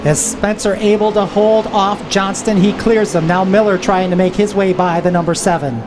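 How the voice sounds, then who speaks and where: A woman's voice: medium pitch, fairly even intonation, moderate pace. A woman giving live stock car race commentary as a trackside announcer. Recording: loud vehicle noise behind. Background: race cars and trackside noise.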